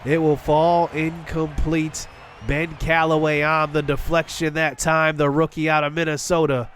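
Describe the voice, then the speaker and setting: A man with a congested voice giving excited play-by-play commentary on a football video game as a gaming channel host. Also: a little high, unhurried, slight background noise. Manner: excited play-by-play, shouting on big plays. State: congested from illness.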